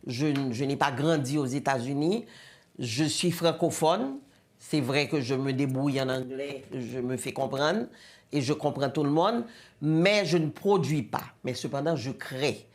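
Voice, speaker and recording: A woman's voice: extremely low pitch, a little slow, darkish tone. A middle-aged woman with a Haitian accent explaining her work as a guest in a conversational interview. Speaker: show creator, interview guest.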